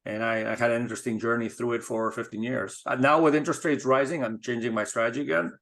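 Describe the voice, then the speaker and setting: Measured middle-aged man from Spain, interview guest, even mid-range voice. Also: medium pitch. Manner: measured, thoughtful, with frequent restarts. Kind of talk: casual interview talk.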